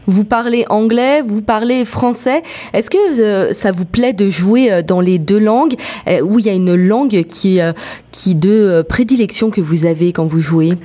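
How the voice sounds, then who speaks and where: A woman interviewer putting questions in a hesitant, halting way. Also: mid-range voice, highs cut off.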